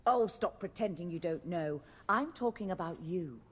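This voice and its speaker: Husky, poised theatre director. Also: female voice, on the low side, expressive, big-room sound. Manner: articulate, measured, theatrical. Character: refined, self-assured seasoned stage actress and director. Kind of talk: video game dialogue acting.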